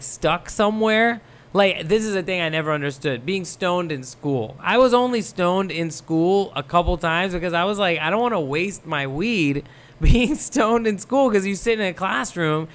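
A young man who grew up in Thailand, talking as a guest in a casual chat, rambling and relaxed with many fillers. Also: high voice, measured pace. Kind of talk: casual podcast conversation.

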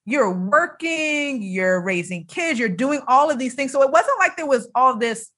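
A woman's voice: sits high, expressive, measured pace, bright, forceful tone. A woman hosting a conversational faith interview, speaking in an affirming, encouraging way. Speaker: podcast host.

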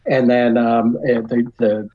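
A man's voice: medium pitch, little pitch movement, takes it slowly, narrow, tinny audio. A middle-aged or older man with an American accent, talking as a podcast guest in a casual, reflective, rambling way full of "you know". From New York area, United States.

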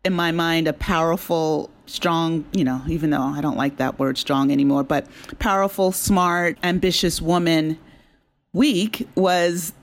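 A woman with a fairly low voice talks as a guest writer in an interview. She explains her story in a conversational way, thinking aloud and slipping in asides. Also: fairly even intonation, measured pace. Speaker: audio drama writer and creator, guest.

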